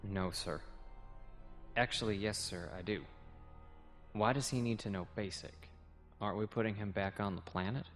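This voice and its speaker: A man's voice: on the low side, normal speed, pauses often, a bit bright. A man playing a young naval cadet and translator in a sci-fi audio comic, plainspoken, dutiful and respectful but guarded. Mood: respectful, unsure. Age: young adult. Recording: faint music behind the voice.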